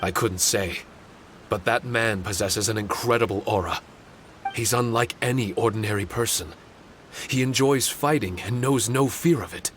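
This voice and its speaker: A man's voice: fairly low pitch, moderate pace, background noise, lightly acted. A man voicing a small, solemn paper spirit in a video game, earnest and formal, given to blaming himself. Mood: thoughtful.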